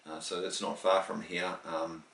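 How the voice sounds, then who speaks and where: A man's voice: low voice, level tone, moderate pace, gravelly, a bit bright, recorded from a distance. A New Zealand man in his late fifties, answering interview questions in a rambling, chatty way with small laughs. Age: 50s. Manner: rambling, with restarts and chuckles. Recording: roomy, voice from the mic.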